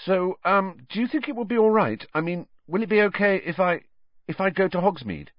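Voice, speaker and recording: A man voicing a nervous, pleading teenage schoolboy in a storybook, halting and polite with stammers. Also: high voice, no echo, lo-fi sound, voice pushed up.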